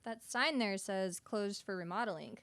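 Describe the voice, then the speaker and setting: A woman's voice: medium pitch, moderate pace, a bit bright, lightly acted. Woman playing a dry, sardonic young roommate. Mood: exasperated, practical. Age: young adult. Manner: dry, matter-of-fact. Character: sardonic, practical, exasperated young female roommate. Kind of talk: student radio comedy acting.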